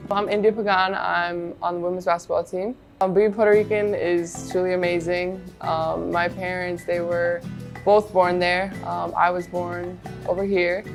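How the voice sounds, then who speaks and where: A woman's voice: on the low side, little pitch movement, normal speed, over music. A young woman basketball player, Spanish native, talking in an interview with many ums, heartfelt. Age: young adult. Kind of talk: interview talk.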